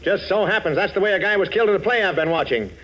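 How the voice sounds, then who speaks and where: Higher-voiced gruff radio police inspector. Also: male voice, rapid-fire, a bit bright, dull audio. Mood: brisk, suspicious. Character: gruff, grudging police inspector, blustery and suspicious. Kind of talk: 1940s radio acting. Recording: vintage 1940s radio recording.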